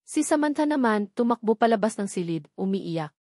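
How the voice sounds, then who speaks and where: A woman's voice: mid-range voice, expressive, fairly quick, mellow, dry recording, clean studio sound. A woman with a Filipino accent reading a scripted romantic drama story as its narrator.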